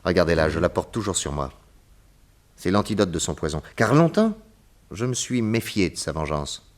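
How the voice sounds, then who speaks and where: Radio-drama detective, middle-aged, very low voice, measured, grave, shrewd. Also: male voice, big pitch swings. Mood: explaining. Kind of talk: 1940s radio acting.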